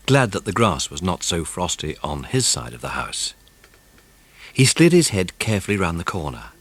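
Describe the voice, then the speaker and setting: A man narrating a children's mystery audiobook in a suspenseful, expressive way. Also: deep voice, husky.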